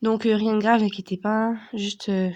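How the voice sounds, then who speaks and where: Hoarse young woman vlogger, sick. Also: medium pitch, little pitch movement, moderate pace, darkish tone, highs cut off. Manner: rambling, many fillers and restarts. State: hoarse from a cold. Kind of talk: casual storytelling to camera.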